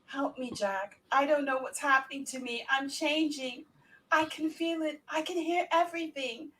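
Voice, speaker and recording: A young Victorian lady, acted out by a woman in a gothic radio play. Her voice is high and theatrical, with the melodramatic delivery of a sheltered bride-to-be. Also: moderate pace, a bit bright, low-quality recording. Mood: frightened, pleading.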